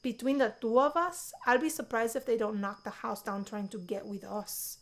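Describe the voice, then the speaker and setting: A woman's voice: a little high, fairly even intonation, moderate pace, natural voice. A woman voicing a confident, teasing young college woman with a sly, theatrical manner in a visual novel. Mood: sassy, flattering.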